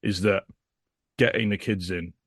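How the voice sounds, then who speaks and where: A man's voice: deep voice, fairly even intonation, a little slow, fairly bright tone, no echo, clean studio sound. A man chatting casually and bluntly as an opinionated podcast guest. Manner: blunt, sweary.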